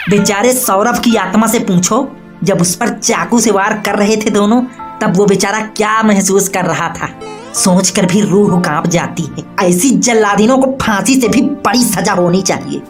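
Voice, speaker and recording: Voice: mid-range voice, moderate pace, music in the background, close to an everyday voice. A performer voicing a henpecked, aggrieved husband in a comic cartoon, a man who grumbles and argues indignantly.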